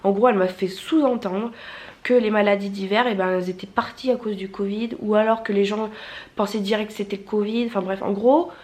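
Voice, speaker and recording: A woman's voice: mid-range voice, steady tone, brisk, darkish tone. A young woman with a stuffy nose from a cold, chatting casually in a vlog, rambling with many fillers and restarts. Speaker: vlogger. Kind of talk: casual vlog chat to camera.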